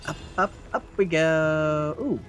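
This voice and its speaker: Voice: mid-range voice, takes it slowly. A let's play host giving casual game commentary, muttering reactions aloud along the way. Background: game music.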